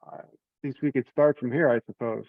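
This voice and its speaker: A man with a noticeably creaky voice presents informally in an online meeting. He hesitates and thinks aloud as he goes. The sound is thin and phone-like, with a dry, close feel. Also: mid-range voice, fairly even intonation, a little fast, no echo. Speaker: telecon presenter walking through slides. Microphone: online conference call. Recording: narrow tinny conference-call line.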